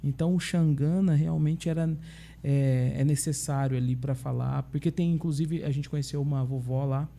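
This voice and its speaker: A man, a pastor with a Brazilian accent, telling stories in a relaxed conversation and often checking in with tag questions. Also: fairly high pitch, moderate pace, gravelly. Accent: Brazilian Portuguese. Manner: chatty storyteller, frequent tag questions. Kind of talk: casual podcast conversation.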